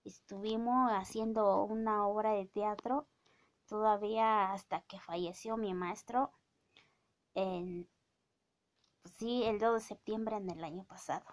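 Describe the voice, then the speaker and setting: Mazatec-accented woman in her thirties, unhurried, scolding vlog rant into a phone. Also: medium pitch, steady tone. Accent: Mazatec-accented Mexican Spanish. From Oaxaca, Mexico. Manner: indignant, scolding, drawn-out speech with many fillers. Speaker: vlogger. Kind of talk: casual opinion rant to camera. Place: small indoor room with bookshelves. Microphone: phone camera at arm's length.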